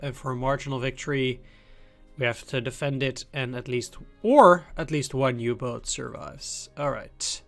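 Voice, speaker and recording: Male let's-play host with a cold, mid pitch, relaxed think-aloud delivery, faint music underneath. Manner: loose, thinking aloud as he plays. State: has a cold. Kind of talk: casual gameplay commentary.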